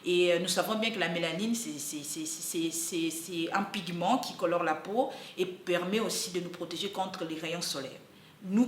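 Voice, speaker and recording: A woman's voice: lowish voice, fairly bright tone, reverberant, slight background noise. A young woman in her twenties from the Congo, speaking, giving an earnest, hesitant personal testimony with many fillers and restarts. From Democratic Republic of the Congo. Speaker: university student and activist.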